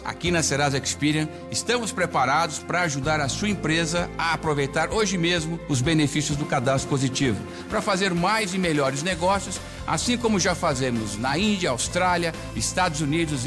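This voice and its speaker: A man's voice: on the high side, moderate pace, few pauses. A man with a Brazilian accent delivers a scripted promotional message as a company spokesman. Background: music bed.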